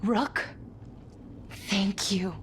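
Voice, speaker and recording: An actress playing a gentle, brave, earnest young woman in a fantasy game, speaking a little hesitantly. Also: mid-range voice, steady tone, very slow, slight background noise. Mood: resolute, tender. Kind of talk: scripted fantasy game dialogue.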